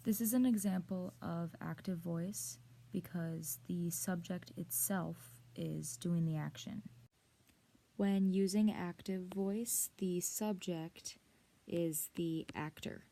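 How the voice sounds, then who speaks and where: A woman presenting a scripted lesson in a measured, explanatory way, speaking directly to the viewer. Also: fairly low pitch, steady tone, gravelly, warm tone. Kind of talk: scripted instructional narration.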